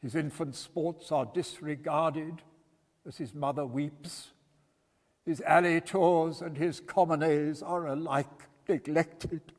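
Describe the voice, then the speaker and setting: A man's voice: fairly high pitch, steady tone, slow pace. A man playing a pompous prosecuting barrister in a stage reading, with theatrical, emphatic and grandiose delivery. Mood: grand indignation. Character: pompous male prosecuting barrister orating at a jury. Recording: reverberant church hall.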